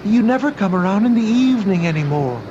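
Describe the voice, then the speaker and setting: An actor playing a courteous, gently insistent old man's ghost, a lonely shopkeeper, in an old-time radio drama. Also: high-pitched, lively intonation, fast talker. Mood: plaintive, friendly. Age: older. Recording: noisy, muffled old radio drama recording.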